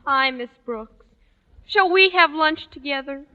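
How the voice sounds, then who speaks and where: A woman's voice: sits high, a little slow, sharp tone. An actress playing a friendly, curious young schoolgirl in a 1940s radio comedy. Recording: dull 1940s radio recording.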